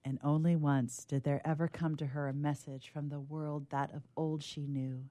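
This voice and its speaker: A woman's voice: deep voice, flat delivery, normal speed, mellow, dry recording. A woman telling stories on a radio show, both reading aloud and chatting in a friendly way. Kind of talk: storybook reading and friendly chat.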